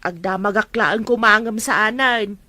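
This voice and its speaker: A woman's voice: medium pitch, normal speed, sharp tone, lightly acted. A woman with an Ilocano accent playing a nagging, doubtful farm mother in a family drama. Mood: doubtful, complaining. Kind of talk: amateur Ilocano radio-style family drama.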